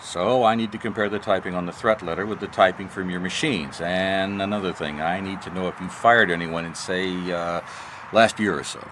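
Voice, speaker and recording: A man's voice: deep voice, fairly even intonation, fairly quick, a bit bright, slight background noise. A man playing a laconic, dogged private detective in a noir radio mystery, casual, wry and probing.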